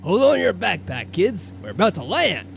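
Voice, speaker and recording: Hearty old male bush pilot played by a man, folksy, booming, lively, game dialogue. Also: moderate pace, gravelly, slight background noise, full character voice. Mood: jolly.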